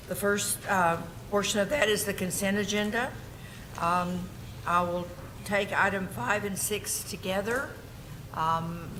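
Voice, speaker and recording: A woman presides over a formal board meeting, speaking into a desk microphone. Her voice is hoarse and fading, so she clears her throat often. She works through motions and votes in a procedural way, with ums and quick self-corrections. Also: medium pitch, background noise. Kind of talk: formal meeting procedure, reading agenda and script.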